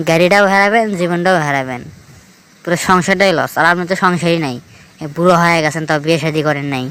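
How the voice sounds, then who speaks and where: A man's voice: fairly high pitch, fairly bright tone, slight background noise, close to an everyday voice. A man with a northern Bangladeshi accent playing an exasperated, scolding village friend in a cartoon skit. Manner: scolding, lecturing. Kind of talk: colloquial dialogue in a cartoon skit.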